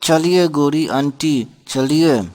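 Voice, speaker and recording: A man's voice: fairly high pitch, slow, a little mellow. A man voicing an obedient, trusting young bird son in a children's cartoon, speaking simply and politely.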